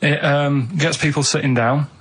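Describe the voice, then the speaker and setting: An English-accented man, a radio show regular, telling rambling anecdotes in a halting, earnest, matter-of-fact way. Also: fairly high pitch, steady tone, slightly rough.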